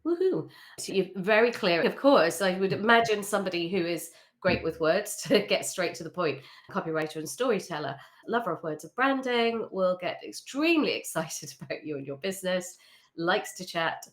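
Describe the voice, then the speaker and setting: Female host, lower-pitched voice, upbeat and chatty. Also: lively intonation, moderate pace. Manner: upbeat, chatty, with frequent laughs. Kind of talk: casual interview, giving brand feedback.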